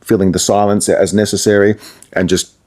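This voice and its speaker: A man's voice: lowish voice, little pitch movement, fairly quick, a little mellow. A man talking casually in an interview as a radio presenter, explaining things through anecdotes and asides.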